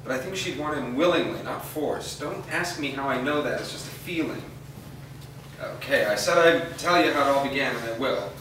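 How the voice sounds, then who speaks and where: A man's voice: mid-range voice, normal speed, a bit bright, close to an everyday voice. A man playing a weary, remorseful aging father in a staged reading of a drama. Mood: weary, confessional. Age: older. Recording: echoey room, distant mic, faint noise.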